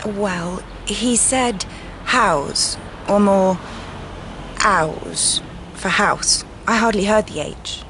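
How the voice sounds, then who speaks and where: An actress playing an eager, flirtatious witness in a radio drama, coy and hesitant in manner. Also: female voice, medium pitch, drawn-out words, noisy background. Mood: thoughtful, hesitant.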